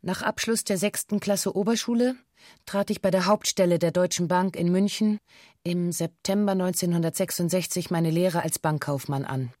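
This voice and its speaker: An actress playing a young former bank clerk who gives a formal, matter-of-fact witness statement in a radio drama. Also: female voice, fairly low pitch, moderate pace, darkish tone, no echo, clean studio sound, natural voice. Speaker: actor in a radio documentary drama. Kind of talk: scripted first-person testimony read aloud.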